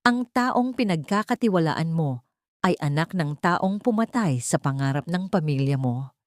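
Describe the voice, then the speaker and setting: A woman's voice: low-pitched, lively intonation, normal speed, no echo, studio quality. A Filipino woman voicing a bitter, vengeful fallen rich woman in an audio drama, taunting and accusing. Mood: accusing, venomous.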